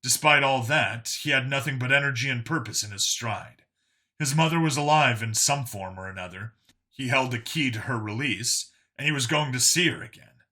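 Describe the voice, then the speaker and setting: A man's voice: medium pitch, normal speed, sharp tone. A man reading scripted fiction as an audiobook narrator.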